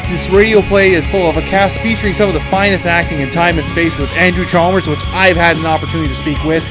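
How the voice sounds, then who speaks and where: A high-voiced man delivers a podcast sponsor read. He is gushing and emphatic, leaning hard on his praise. Guitar music plays clearly under his voice. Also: steady tone, quick pace. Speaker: podcast host reading a sponsor spot. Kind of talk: enthusiastic promotional read.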